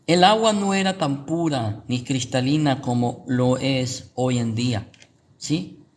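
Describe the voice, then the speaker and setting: A man's voice: medium pitch, slow pace, a little hiss or hum. A Guatemalan man with a Guatemalan Spanish accent, a pastor giving informal Bible teaching and checking in with rhetorical questions. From Guatemala City, Guatemala.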